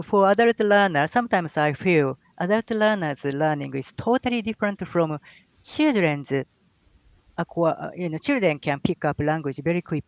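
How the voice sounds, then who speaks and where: Guy talking slow and careful, lots of uhs, dry close sound. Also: on the high side, no echo. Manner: hesitant, many fillers and restarts, emphatic on key words. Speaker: guest language scholar. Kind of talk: live online talk with Q&A. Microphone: video call.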